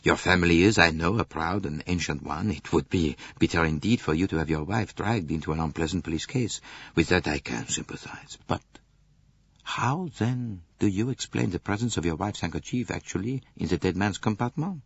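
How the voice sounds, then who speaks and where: A man voicing a shrewd, courteous detective, measured and probing, in an audiobook. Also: low voice, vocal fry, husky, dry recording, dull audio, heavily acted. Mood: courteous, skeptical. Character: shrewd Belgian male detective, polite, probing, unruffled.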